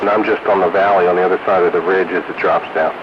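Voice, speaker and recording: Casting: man, lowish animated voice, casual joking radio host, heard through a muffled, hiss-filled CB transmission. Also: fast talker. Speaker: amateur radio operator hosting an on-air roundup. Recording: noisy hissy muffled two-way radio transmission.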